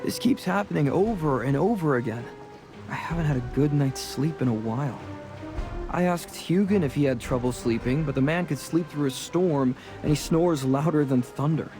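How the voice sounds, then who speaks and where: A man plays a charming rogue of the seas in a fantasy game. His voice sits on the higher side for a man, and he chats in a breezy, self-assured, conversational way, with music underneath. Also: normal speed, lightly acted. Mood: earnest, casual. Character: roguish, charming, chatty adult male sea adventurer.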